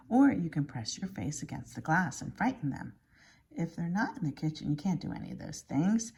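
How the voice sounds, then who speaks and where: A woman with a lowish, slightly husky voice reads a picture book to young children. Her delivery is very animated and expressive, leaning on key words for emphasis like a schoolteacher at story time. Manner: expressive, exaggerated emphasis with dramatic hushes. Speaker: elementary school teacher reading aloud.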